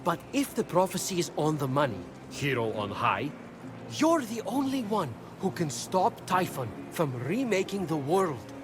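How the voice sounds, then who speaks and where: An actor playing a glib, wry and playful trickster messenger god in an animated video game. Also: male voice, on the high side, measured pace, background noise. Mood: flippant, teasing. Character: quick-witted male trickster messenger god, flippant and playful.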